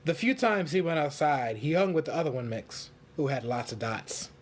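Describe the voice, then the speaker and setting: A man reading a storybook aloud for children in simple, deliberate phrases. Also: a little high, lively intonation, husky.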